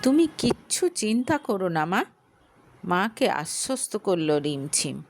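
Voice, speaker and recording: Slow, lively-voiced woman storyteller. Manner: slow, expressive reading with lively pitch. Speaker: narrator. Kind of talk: storytelling narration.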